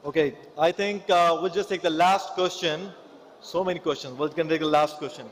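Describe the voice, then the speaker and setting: A man hosting a gala, switching between English and Hindi-Urdu, firing off rapid thank-yous and announcements. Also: a little high, steady tone. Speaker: event host. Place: banquet hall.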